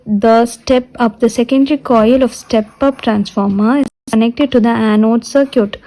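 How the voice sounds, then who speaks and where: A woman teaches a technical lesson in a somewhat high-pitched voice with a dark, relaxed tone. She goes through the material step by step and deliberately restates each point. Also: poor audio. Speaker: physiotherapy lecturer. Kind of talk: step-by-step technical lecture explaining a diagram.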